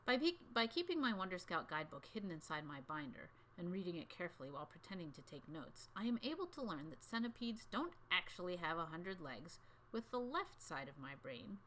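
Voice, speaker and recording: Woman, bright voice, lively varied pitch, expressive children's storybook reader, faint background noise. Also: brisk. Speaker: co-host reading a children's book aloud. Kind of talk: expressive storybook narration and light banter.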